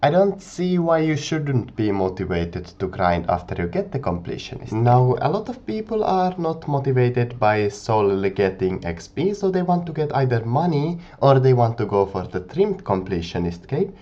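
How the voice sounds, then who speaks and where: Man with a cold, animated intonation, mellow tone, dull lo-fi mic. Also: mid-range voice, normal speed, few pauses. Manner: earnest, explanatory, animated rise and fall. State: has a cold. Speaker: gaming video creator. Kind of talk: casual commentary to camera.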